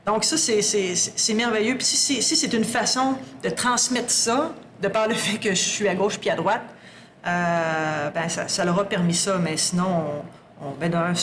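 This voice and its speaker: A woman's voice: mid-range voice, noisy background. Candid talkative Québécois woman. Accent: Québécois French. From Quebec. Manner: candid, talkative, often restarts her sentences. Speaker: guest, sustainability executive. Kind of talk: casual podcast conversation.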